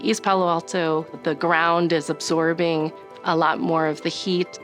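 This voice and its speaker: A middle-aged woman from the US Northwest, a city development director, explaining her work in a calm, measured way in a documentary interview. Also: sits low, faint music behind. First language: Chinese.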